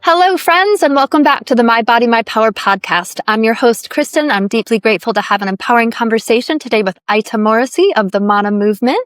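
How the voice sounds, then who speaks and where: A woman hosting a podcast, speaking in a soft, affirming and encouraging way. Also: fairly high pitch, quick pace, few pauses, no room sound, clean studio sound, soft background music. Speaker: podcast host. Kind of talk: podcast interview.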